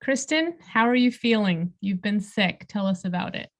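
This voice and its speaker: A woman's voice: mid-range voice, lively intonation, moderate pace. A woman co-hosting a podcast in a conversational style. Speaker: podcast co-host.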